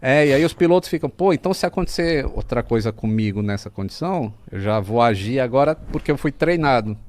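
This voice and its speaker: A man's voice: medium pitch, expressive, fairly quick, darkish tone. A man with a Brazilian accent talking casually as a podcast guest, explaining things like a storyteller and mimicking sounds as he goes.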